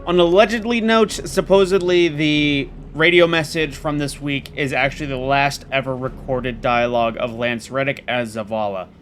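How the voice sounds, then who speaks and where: A man's voice: mid-range voice, fairly even intonation, moderate pace, hardly any pauses, a bit bright, soft background music. A man giving informal commentary as the narrator of a gaming channel. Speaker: video game channel narrator. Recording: clear background noise.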